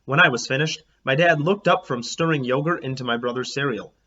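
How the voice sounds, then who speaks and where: A man reading a children's book aloud in an animated, expressive way, as a teacher would. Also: medium pitch, fairly quick. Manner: expressive, acts out dialogue. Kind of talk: animated storybook read-aloud. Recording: dull lo-fi, clear background noise.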